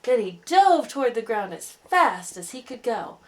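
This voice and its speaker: A woman's voice: on the high side, big pitch swings, moderate pace. A woman voicing a self-important, talkative owl in a children's story, pompous and long-winded. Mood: pompous, rambling.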